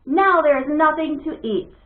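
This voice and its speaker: A woman's voice: high-pitched, unhurried, voice pushed up. A woman voicing a stubborn, demanding young boy in a storybook, with an insistent, whiny delivery. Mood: sulky. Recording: thin phone-like audio, echoey room.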